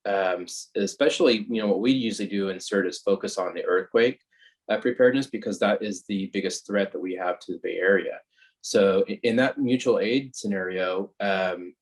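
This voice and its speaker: A man from California, a fire captain giving an informal, halting, conversational explanation as guest speaker on a video call. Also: low voice, rough recording. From California, United States.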